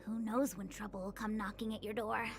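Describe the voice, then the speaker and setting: A woman's voice: medium pitch, little pitch movement, fairly quick, lightly acted. An actress voicing an easygoing, carefree young female subordinate in a game, with a breezy, casual manner. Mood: breezy, unbothered. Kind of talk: scripted game dialogue. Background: game music.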